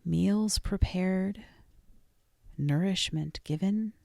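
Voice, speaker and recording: Slow, creaky-voiced woman guiding meditation. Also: mid-range voice, dry recording. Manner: gentle, deliberate, with frequent pauses. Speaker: guided meditation narrator. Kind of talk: guided meditation, scripted and soothing.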